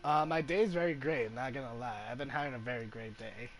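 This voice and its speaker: A man giving casual live commentary as a game streamer, chatty and a little halting. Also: medium pitch, a bit bright. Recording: faint background noise.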